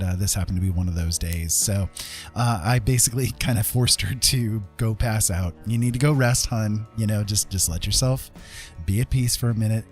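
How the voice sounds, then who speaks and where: A man hosting a casual livestream, talking in a chatty, rambling and enthusiastic way. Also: lowish voice. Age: adult, dad. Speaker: livestream host.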